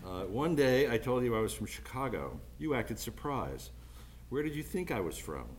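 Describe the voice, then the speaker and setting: A man reading tribute letters aloud at a celebration in a measured, unhurried way. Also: medium pitch, very animated, slight background noise.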